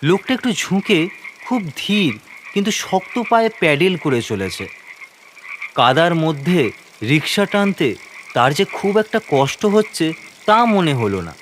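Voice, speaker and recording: A man's voice: fairly high pitch, big pitch swings, a little slow. A man giving dramatic, suspenseful first-person narration of a scripted horror story. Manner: theatrical, suspenseful delivery. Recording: faint ambient noise, croaking frogs behind.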